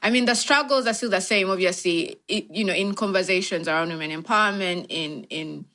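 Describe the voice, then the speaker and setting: A woman's voice: medium pitch, brisk, sharp tone. A woman with a Ugandan accent giving reflective, explanatory answers in a conversational interview, often saying "you know". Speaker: tech organisation founder, interview guest.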